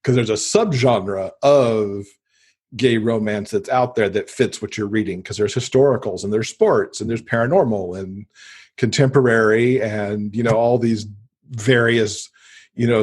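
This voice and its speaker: Low-voiced man chatting, a bit husky. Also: steady tone, measured pace, darkish tone. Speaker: podcast guest; podcast host and author. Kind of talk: casual interview chat.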